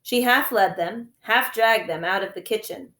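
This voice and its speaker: Female teacher narrating children's fiction, expressive read-aloud, mid-pitched, noticeably roomy recording. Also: moderate pace. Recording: echoey room.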